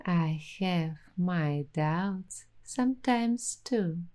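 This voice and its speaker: A woman hosting a soft-spoken ASMR roleplay, talking dreamily and intimately to the listener. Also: lowish voice, expressive. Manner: slow, dreamy, intimate, addressing the listener directly.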